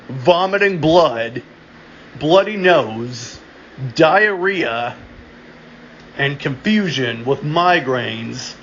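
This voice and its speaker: A man playing a solemn emergency alert announcer in an amateur mock broadcast, deliberate and halting, restarting his phrases. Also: fairly high pitch. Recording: dull lo-fi home recording, noticeable background hum.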